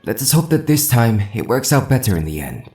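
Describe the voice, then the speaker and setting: A man's voice: medium pitch, very animated, fairly quick, darkish tone, faint music behind, lightly acted. An actor playing an honorable swordsman and devoted pupil, formal and earnest, in a fantasy audio drama.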